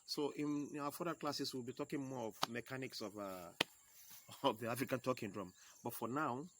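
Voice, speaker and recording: A man with a Yoruba accent teaching a home video lesson, going slowly and repeating each key term step by step. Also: mid-range voice, fairly bright tone, slight background noise. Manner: slow, repeats key terms, explains step by step. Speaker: percussion teacher.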